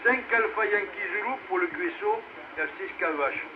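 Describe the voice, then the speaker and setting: A man's voice: sits high, sing-song, normal speed. Man running a noisy radio net. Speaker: amateur radio net controller. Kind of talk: casual radio net hosting. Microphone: two-way radio transmission. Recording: muffled, noisy VHF amateur radio relay.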